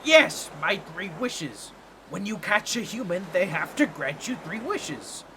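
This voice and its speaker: A man voicing an excitable, boastful talking octopus in a comedic audio roleplay, with a nasal, squawky voice and a fussy, theatrical, pompous way of talking to the listener. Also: high voice, slow pace, background noise, heavily acted. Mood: insistent, explaining.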